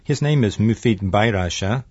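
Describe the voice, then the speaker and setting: A man's voice: fairly low pitch, moderate pace, darkish tone, slightly rough, no echo. A man working as a radio reporter, introducing and probing guests with repeated questions. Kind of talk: radio feature interview. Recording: muffled, with music and crowd.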